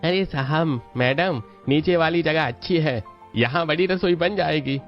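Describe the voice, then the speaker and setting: A man's voice: on the high side, measured pace, natural voice. A man voicing an obliging working builder in a cartoon story. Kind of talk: Hindi cartoon story dialogue. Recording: dull lo-fi audio with music.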